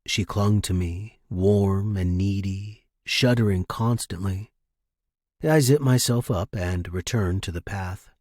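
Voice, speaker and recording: Slow, husky male story narrator. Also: deep voice, a little mellow, no room sound, clean studio sound. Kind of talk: scripted first-person fiction narration.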